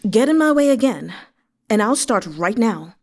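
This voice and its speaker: A woman's voice: a little high, big pitch swings, moderate pace. A woman voicing a menacing male vice commander of the guard, curt and commanding, in a story reading. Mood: cold threat.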